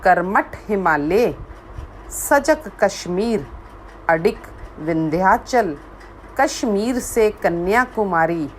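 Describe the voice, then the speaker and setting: A woman reciting a poem she wrote herself, in a measured voice that repeats lines for emphasis, after a short spoken introduction. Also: medium pitch, lively intonation, slight background noise, rough recording. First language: Hindi. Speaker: poet. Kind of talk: slow recited poetry.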